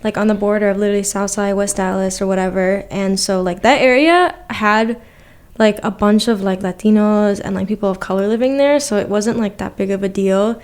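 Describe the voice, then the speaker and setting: A young woman from Milwaukee talks as a podcast guest. She rambles loosely through her answers and leans on fillers. Her voice sits at an ordinary middle pitch. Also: fairly quick, clear, resonant tone. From Milwaukee, Wisconsin. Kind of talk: casual conversation.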